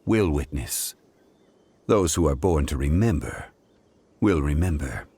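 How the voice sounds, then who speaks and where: An actor playing an ancient, dignified god in human form in a fantasy video game, speaking in a grave, measured, formal way. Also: male voice, rumbling low, expressive, warm tone, slight background noise, full character voice. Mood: earnest, wise.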